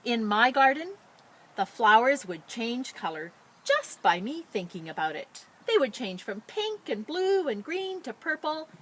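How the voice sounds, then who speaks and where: A woman who works as a teacher librarian, talking and reading a picture book to children in an expressive, enthusiastic way. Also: a little high, unhurried, breathy edge, poor audio. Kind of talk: children's story read-aloud with casual introduction.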